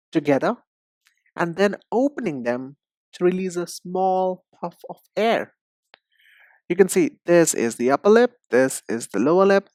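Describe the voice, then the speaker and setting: A man teaching English pronunciation, explaining step by step in a deliberate, didactic way and speaking directly to the learner. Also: a little high, no room sound. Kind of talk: instructional lesson with demonstrations.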